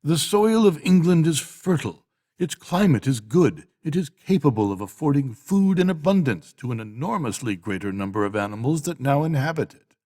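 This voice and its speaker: An older man reading a storybook dramatically, voicing an elderly boar as a solemn revolutionary orator, with emphatic delivery, rhetorical pauses and long reverb. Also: on the high side, sing-song, mellow. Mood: rousing, defiant. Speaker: audiobook reader. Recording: long reverberant hall-like echo.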